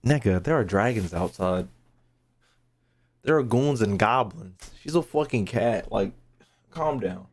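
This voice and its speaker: A woman's voice: very deep voice, animated, a little slow, pauses often, a little mellow. A woman giving casual, exclamatory gaming reaction commentary full of slang and swearing. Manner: exclamatory, profane, informal. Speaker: gaming content creator reacting to story readings.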